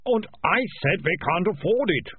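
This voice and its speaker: A man's voice: high voice, animated, moderate pace, breathy edge, no room sound, phone-quality sound, pitched above the performer's own voice, exaggerated voice. A man voicing a hesitant, fussy town mayor who stammers apologetically in an audiobook story. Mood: doubtful.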